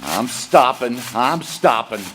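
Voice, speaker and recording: A man's voice: mid-range voice, very animated, slow. A man playing a defiant, folksy train engineer in a Western radio drama. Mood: resigned. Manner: protesting, folksy Western talk. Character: defiant, indignant Old West train engineer. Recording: noisy live stage recording.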